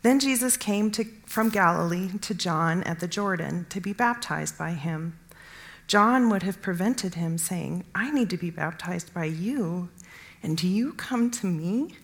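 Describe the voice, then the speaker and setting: A woman reading scripture aloud in a measured way. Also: lowish voice, expressive. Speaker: scripture reader.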